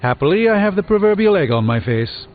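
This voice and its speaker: An actor playing a learned, composed sorcerer in a video game, speaking in a formal, eloquent, dryly witty way. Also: male voice, high-pitched, sing-song, brisk, phone-quality sound, over music. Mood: dryly rueful. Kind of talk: scripted video game dialogue.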